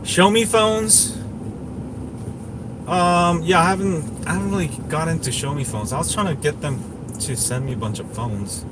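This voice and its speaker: Rambling man over clear background noise. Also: fairly high pitch, moderate pace. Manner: rambling, opinionated, repeats himself. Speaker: tech livestream host. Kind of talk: casual livestream chat, answering viewers.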